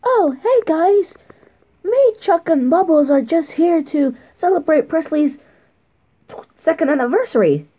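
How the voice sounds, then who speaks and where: A young man voicing a chirpy little female cartoon bird in a squeaky, excited voice. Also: measured pace. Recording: dull lo-fi home recording.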